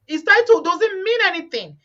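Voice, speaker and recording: Host, woman, bright forceful voice, repeats herself for emphasis, slightly lo-fi. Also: extremely high pitch, expressive, moderate pace. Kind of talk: casual chat. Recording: slightly dull lo-fi sound.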